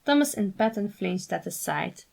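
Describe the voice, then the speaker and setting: A woman's voice: mid-range voice, lively intonation, moderate pace, vocal fry, darkish tone. A woman reading scripted fiction aloud as a storyteller who also does character voices. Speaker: fan fiction writer and reader.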